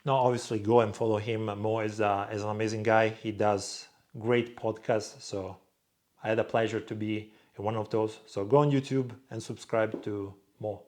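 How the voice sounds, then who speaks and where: A young man from Croatia speaks in a relaxed vlog Q&A. He answers fans off the cuff, bluntly, and swears freely. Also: lowish voice, normal speed. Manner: blunt, swears freely, reads viewer questions aloud in a louder voice. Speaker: vlog host answering viewer questions. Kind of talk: casual Q&A monologue.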